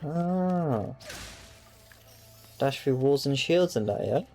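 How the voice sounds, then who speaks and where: A man's voice: medium pitch, lively intonation, takes it slowly, mellow, soft background music. A young man, playfully muttering reactions over his game. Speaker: gamer. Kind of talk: let's play commentary.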